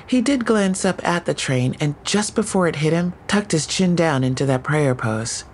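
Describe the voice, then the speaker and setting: A woman's voice: low-pitched, expressive, normal speed, slight background noise. An actress playing an earnest female patrol officer in an audio drama, reporting in a precise, matter-of-fact way. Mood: sober, factual.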